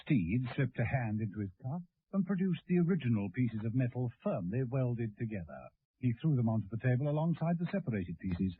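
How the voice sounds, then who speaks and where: A man's voice: medium pitch, lively intonation, a little fast, slightly rough. A man reading dramatic scripted narration for a radio play. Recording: dull old radio recording, music behind.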